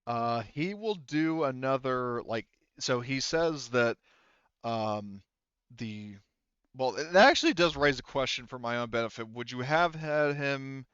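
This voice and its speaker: A man working as game master of a tabletop roleplaying game, narrating casually and haltingly, correcting himself as he explains on a headset. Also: medium pitch, expressive, fairly bright tone, smooth, no room sound, muffled recording.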